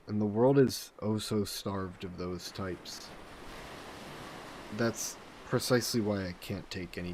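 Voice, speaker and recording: A somewhat low-pitched, possessive sea monster, acted by a man for a roleplay audio drama. He chooses formal, measured words and mixes cool threat with flirtation. There is noticeable background noise under the voice. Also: a little mellow, lightly acted. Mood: conflicted, intrigued. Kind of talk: scripted roleplay audio drama, intimate monologue.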